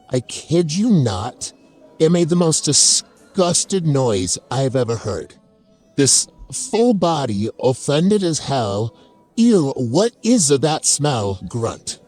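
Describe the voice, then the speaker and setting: A man's voice: on the high side, a little mellow, heavily acted. A man playing a chaotic, oversharing fantasy tavern keeper who tells tall tales in a theatrical, wry, self-deprecating way. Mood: comic, incredulous. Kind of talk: dramatic first-person comic storytelling.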